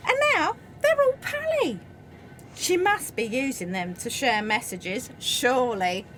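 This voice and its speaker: A woman's voice: high-pitched, big pitch swings, unhurried, full character voice. A woman playing a gossipy, suspicious wartime factory worker in an amateur radio play, chatty and conspiratorial. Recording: loud background noise.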